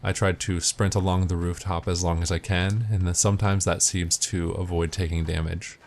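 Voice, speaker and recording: Low-pitched man narrating a game walkthrough. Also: level tone, normal speed, slight background noise. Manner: matter-of-fact, step-by-step. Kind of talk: instructional gameplay commentary.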